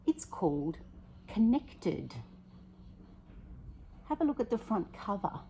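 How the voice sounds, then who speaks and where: A woman primary school teacher reading a picture book aloud to children, expressive and prompting her listeners with questions, in a slightly husky voice. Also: fairly high pitch, slow pace, a little hiss or hum.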